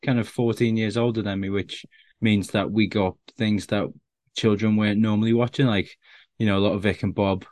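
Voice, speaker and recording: A low-voiced man in his thirties, speaking with a Scouse accent worn down by years away from Liverpool. He rambles and restarts as he chats, making fun of himself. The recording is close and dry, with no room sound. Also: fairly even intonation, fairly quick, a little mellow, no echo. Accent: Liverpool (Scouse), softened. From Liverpool, England. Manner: self-deprecating, rambling with many restarts. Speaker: stand-up comedian guest. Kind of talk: casual interview chat.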